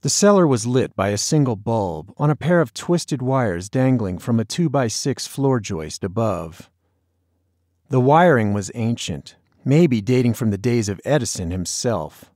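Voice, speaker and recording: A man's voice: mid-range voice, a little mellow, no room sound, studio quality. A man narrating a novel in a scripted storytelling style. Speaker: audiobook narrator.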